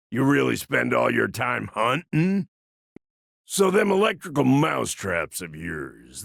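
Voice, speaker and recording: Grizzled old American cyborg gunslinger for a game: very gravelly, sharp-edged, wry and folksy. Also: male voice, mid-range voice, no echo, clean studio sound, full character voice. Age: older. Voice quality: gravelly, husky. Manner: folksy drawling slang, wry and grumbling. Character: gruff, grizzled old part-machine gunfighter, wry and world-weary. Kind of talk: video game character voice lines.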